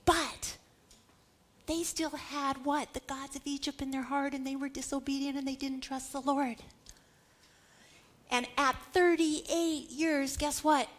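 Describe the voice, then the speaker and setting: A woman's voice: sits high, fairly even intonation, unhurried. A woman preacher giving a sermon that teaches Bible history, stressing key words dramatically and putting rhetorical questions to listeners.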